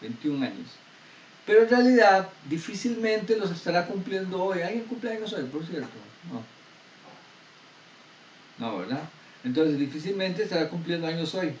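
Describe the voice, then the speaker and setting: Man, math lecturer, fairly high voice, explains step by step, quizzes students, roomy classroom, distant dull mic. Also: expressive, normal speed, pauses often. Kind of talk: university lecture. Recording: echoey room, distant dull mic, faint noise.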